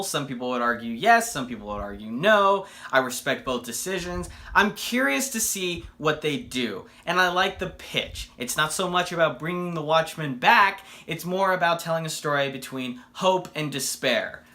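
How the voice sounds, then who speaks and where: A man's voice: fairly high pitch, sharp tone. A man giving a casual comic book review in an enthusiastic, emphatic way.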